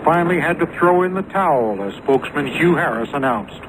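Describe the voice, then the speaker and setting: A man's voice: on the high side, expressive, brisk. A man giving a live news report from a launch site, recorded off a noisy shortwave broadcast. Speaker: field reporter.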